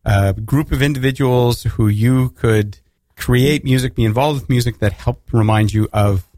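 A man's voice: mid-range voice, normal speed, mellow, dry recording, studio quality. A man hosting a community radio interview, joking playfully with his guests. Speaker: radio host.

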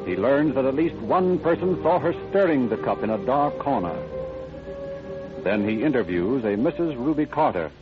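An actor playing the solemn, deliberate narrator of an old-time radio crime drama. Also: male voice, mid-range voice, expressive, lightly acted. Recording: dull vintage radio recording. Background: music.

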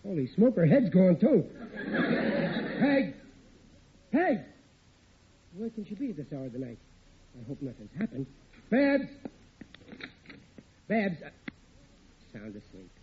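Blustery American everyman husband, highish voice, big swings, vintage radio comedy. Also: sing-song, slow, long pauses, phone-quality sound, heavily acted. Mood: tired, then worried. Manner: folksy, ungrammatical, grumbling. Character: blustery, bumbling working-class family man at an aircraft plant. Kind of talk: old radio sitcom acting. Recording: thin, noisy vintage radio recording.

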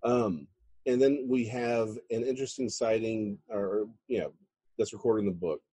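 A man's voice: lowish voice, steady tone, moderate pace, dark tone, husky, no room sound. A man, a guest author talking casually in an interview and building up with emphasis.

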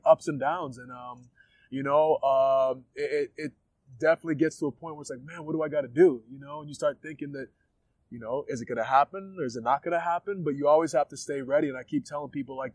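A man's voice: on the high side, dry recording. A man in his thirties from Ghana, talking casually in an interview and leaning on "like" and "you know" as he goes. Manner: easygoing, lots of "like" and "you know" fillers, laughs often. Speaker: wrestler being interviewed.

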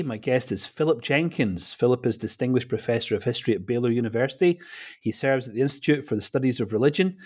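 A man's voice: medium pitch, a little mellow. A man hosting an interview podcast, introducing and questioning in conversation. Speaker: podcast host. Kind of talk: interview chat.

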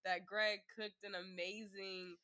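A woman's voice: mid-range voice, level tone, slow pace, sharp tone. A woman with a nasal voice, co-hosting a podcast in casual chat while getting over a cold. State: recovering from a cold. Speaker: podcast co-host.

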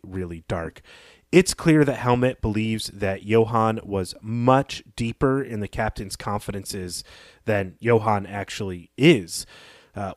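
A male co-host discusses fiction in a middle-pitched voice. He sounds reflective, piecing his analysis together as he talks. The recording is dry and free of echo. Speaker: podcast co-host. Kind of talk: conversational literary story analysis.

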